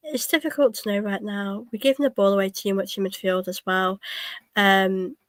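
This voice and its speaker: A woman's voice: mid-range voice, fairly even intonation, normal speed, no room sound. Woman with a cold, chatty live match talk. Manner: hesitant, many ums and restarts, chatty. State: has a cold, coughing. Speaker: fan watchalong host. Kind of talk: casual live match commentary.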